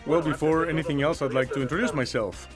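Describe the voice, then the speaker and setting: A man's voice: fairly high pitch, quick pace, over music. A man from Israel with an Israeli accent, a guest telling his personal business story over the phone. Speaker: guest speaker.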